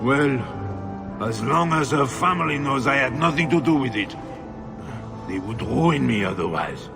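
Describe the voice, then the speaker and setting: Slow, creaky, bitter nobleman husband. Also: medium pitch, lively intonation, music in the background. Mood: bitter, dismissive. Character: bitter, self-pitying nobleman husband, defensive and weary. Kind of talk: dramatic video game dialogue.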